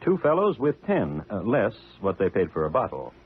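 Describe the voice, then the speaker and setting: A man's voice: lowish voice, wildly swooping pitch, moderate pace, breathy edge, full character voice. A man performing as a dry, urbane true-crime narrator, wry and literate, in scripted 1950s radio style. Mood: wry, deliberate. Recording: tinny old radio broadcast with music.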